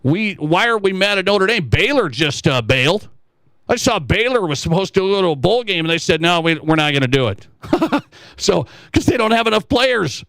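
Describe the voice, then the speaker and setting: A man's voice: sits high, sing-song, normal speed, a bit bright, no echo. An American man from Montana hosting sports talk radio, giving emphatic opinions and acting out mocking objections. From Montana, United States.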